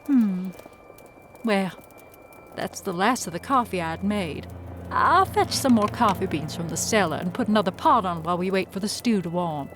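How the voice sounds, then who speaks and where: A woman's voice: medium pitch, animated, slight background noise, close to an everyday voice. A woman playing a courteous, watchful frontier storekeeper in a western audio drama, with polite, formal phrasing. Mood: guarded, probing. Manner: polite, formal, measured hospitality.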